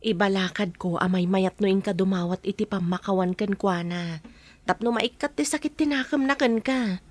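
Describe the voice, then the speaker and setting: A woman's voice: mid-range voice, normal speed, lightly acted. A woman acting a concerned aunt who gives frank counsel in an Ilocano audio drama. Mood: advising. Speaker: actor in a drama.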